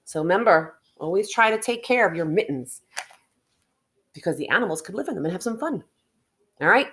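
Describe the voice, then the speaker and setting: A woman reading a picture book aloud to children in an expressive way, stretching words for emphasis and adding chatty asides and questions to the listeners. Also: on the low side, a little fast, slight background noise.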